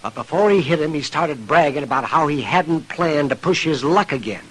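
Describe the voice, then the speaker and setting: An actor playing a grave, frank older American town doctor with a husky voice in a western radio drama. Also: male voice, on the high side, animated, moderate pace, fairly bright tone, low-quality recording. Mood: grave, reluctant.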